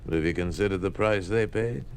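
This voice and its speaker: A smug, low-voiced communist official. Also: male voice, fairly quick, a little hiss or hum. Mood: smug, mocking. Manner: condescending, sardonic, coolly threatening. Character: smug, menacing communist official taunting a rival. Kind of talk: 1950s radio drama acting.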